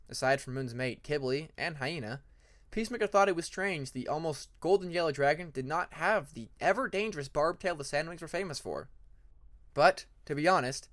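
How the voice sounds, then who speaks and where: A man narrates a story in a fairly high male voice. He reads from a script as an amateur audiobook narrator, and the recording is dry with very little room sound. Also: a bit bright, no room sound.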